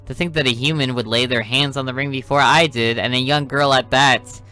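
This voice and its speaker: A man voicing a cold, menacing alien being in a visual novel, speaking slowly and threateningly. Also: mid-range voice, steady tone, sharp tone, close to an everyday voice.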